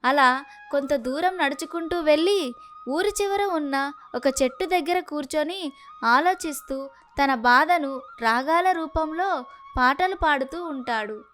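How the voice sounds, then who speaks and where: A woman's voice: high voice, unhurried, fairly bright tone, faint music behind. A woman narrating a scripted children's story.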